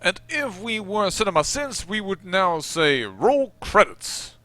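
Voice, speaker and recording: A man's voice: sits high, big pitch swings, unhurried, bright, forceful tone, no room sound. A Dutch man with a Dutch accent, hosting a let's play and rambling in chatty, self-mocking commentary. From Netherlands. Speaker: gaming channel host.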